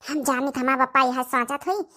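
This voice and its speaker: A woman's voice: high-pitched, fairly even intonation, a little fast, pushed and bright. A woman voicing a chatty, insistent, scheming village mother with an Awadhi accent in a cartoon comedy. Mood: complaining. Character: scheming village mother pushing her favoured daughter. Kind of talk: rustic cartoon comedy acting.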